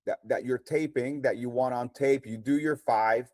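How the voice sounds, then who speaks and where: Man, 40s, Colombian accent, native Spanish speaker, warm low tone, dry close sound, guest comedian chat. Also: normal speed, no echo. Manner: confident, practical, animated advice. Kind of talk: casual livestream chat.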